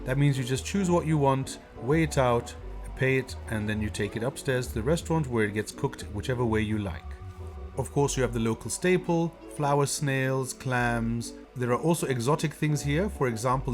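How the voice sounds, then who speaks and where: A man, a travel vlogger, narrating a voice-over in a relaxed, informative way. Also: mid-range voice, moderate pace. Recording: voice-over with guitar music. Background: guitar music.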